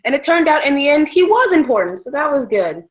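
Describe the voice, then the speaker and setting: A woman's voice: sits high, sing-song, brisk, dull audio. A young woman co-hosting a casual book chat, telling her reactions informally as little quotes. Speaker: book discussion co-host.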